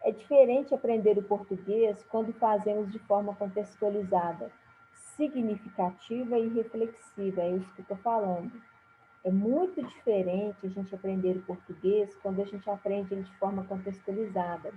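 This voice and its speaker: A woman from Brazil teaching a recorded lesson, talking slowly with frequent fillers and restarts. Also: medium pitch, fairly even intonation. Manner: slow, halting delivery with many fillers and restarts. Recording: tinny phone-like sound with faint hiss.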